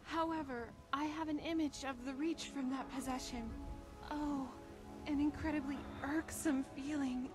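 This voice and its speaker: A woman playing a devout priestess in a fantasy game, speaking in ornate, formal, archaic phrases. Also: high voice.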